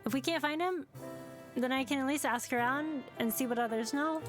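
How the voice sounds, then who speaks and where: A woman voicing a worried young siren with sharp teeth, earnest and apologetic, in a story game. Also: high-pitched, moderate pace, soft background music, close to an everyday voice.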